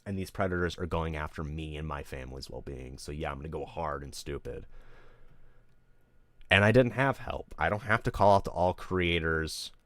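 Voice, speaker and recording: A man's voice: extremely low pitch, moderate pace, fairly bright tone, no echo. A streamer man ranting candidly to his live audience, rambling, emotional and full of swearing. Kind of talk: candid venting on a livestream.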